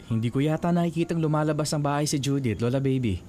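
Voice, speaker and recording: Actor as a caring young suitor over music. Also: male voice, fairly high pitch, quick pace, lightly acted. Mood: polite, concerned. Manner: gentle, courteous, solicitous. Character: caring, polite young male suitor, earnest. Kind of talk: Filipino radio drama acting. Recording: music bed behind voice.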